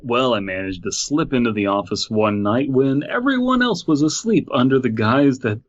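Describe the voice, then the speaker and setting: A man's voice: mid-range voice, expressive, moderate pace, muffled recording. A man co-hosting a comedy podcast, going off on rambling joking tangents. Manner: rambling joking asides, laughs often. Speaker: podcast co-host. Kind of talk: comedic banter.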